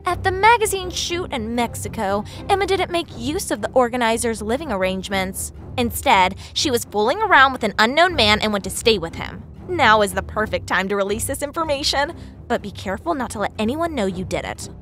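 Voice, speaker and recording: A woman's voice: on the high side, lively intonation, fairly quick, a bit bright, faint music behind. An actress playing a scheming, spiteful young woman who taunts her lover in an audio drama. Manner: taunting, venomous.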